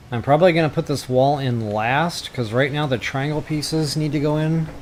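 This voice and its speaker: A man's voice: mid-range voice, a little hiss or hum. Casual man talking through a game tutorial. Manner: informal, thinking aloud, mildly self-deprecating. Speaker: video game tutorial host. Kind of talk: casual screen-recorded game building tutorial. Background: music.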